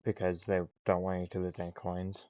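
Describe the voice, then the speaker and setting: A man's voice: low-pitched, completely flat delivery, fairly quick, darkish tone, no room sound. A man giving casual, offhand commentary as he plays a video game, muttering to himself. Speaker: gaming streamer.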